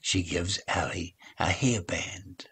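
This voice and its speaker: An older man reading a children's story aloud, with expressive, deliberate phrasing. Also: sits low, slightly rough. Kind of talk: children's read-along story narration.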